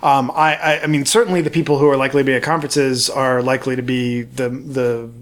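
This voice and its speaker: A man from Baltimore with a Baltimore accent, co-hosting a podcast in quick, rambling casual chat full of restarts. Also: mid-range voice, flat delivery. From Baltimore area. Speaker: podcast co-host.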